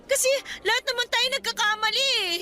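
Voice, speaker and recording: A woman's voice: very high voice, fairly even intonation, fast, soft background music, full character voice. A desperate young student girl. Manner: emotional, pleading, rising to shouts. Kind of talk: radio drama acting.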